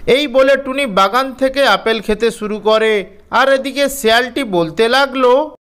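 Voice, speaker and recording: A woman storyteller reading scripted narration for a children's animated fairy tale. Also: a little high, unhurried.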